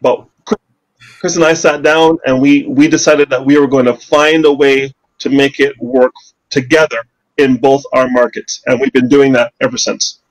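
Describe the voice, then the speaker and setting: A man's voice: medium pitch, flat delivery, moderate pace, poor audio. A man from Canada, a financial advisor answering interview questions confidently and persuasively with rhetorical questions.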